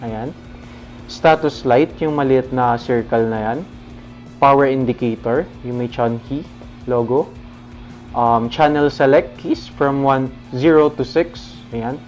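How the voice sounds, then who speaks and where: Filipino man presenting, dull lo-fi sound. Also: mid-range voice. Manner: instructional, addresses viewers informally as guys. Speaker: product presenter. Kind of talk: casual product demonstration with Taglish explanations. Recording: dull lo-fi sound under music. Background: background music.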